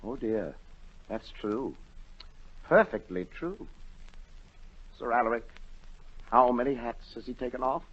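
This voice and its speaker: A man's voice: medium pitch, lively intonation, unhurried, pauses often, slightly rough. A man playing a fretful, self-important king who dithers and commands theatrically in a 1940s radio play. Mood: fretful, regal. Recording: dull 1940 radio recording.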